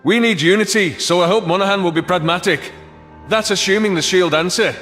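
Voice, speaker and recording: A man's voice: high-pitched, a little fast, fairly bright tone. A man reading scripted, dramatic first-person science fiction narration as an audiobook narrator. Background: background music.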